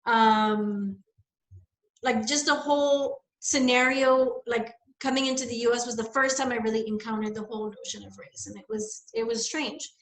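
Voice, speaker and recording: A young woman from the Bahamas talks through her experiences in a loose, thinking-aloud way. Her voice sits a little high, and the recording rings with the echo of the room around her. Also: moderate pace. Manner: reflective, rambling, many fillers. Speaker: guest. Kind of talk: casual conversation, personal story.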